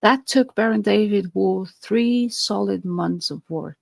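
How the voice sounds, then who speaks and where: A woman with a Portuguese accent talks in an unhurried, earnest podcast monologue. Her low voice carries a gravelly fry. The sound is dry and close, with little room around it. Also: no room sound. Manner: earnest, explanatory, with fillers and restarts. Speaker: podcast host.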